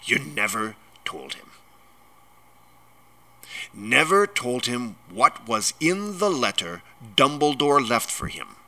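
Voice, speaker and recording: Blustering giant gamekeeper, growling and booming, bright forceful tone, big pitch swings, storybook reading. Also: male voice, very animated, unhurried, exaggerated voice. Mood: trembling rage. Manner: booming, growling, rustic speech. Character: huge gruff giant gamekeeper, blustering and indignant.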